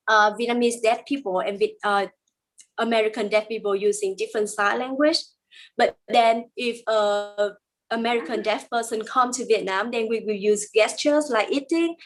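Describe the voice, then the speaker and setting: A woman voicing a deaf guest's answers as a live interpreter, her voice sitting on the higher side. She speaks in plain, careful phrasing, pausing often and filling gaps with hesitant sounds. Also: rough recording. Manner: halting, many pauses and fillers, plain careful phrasing.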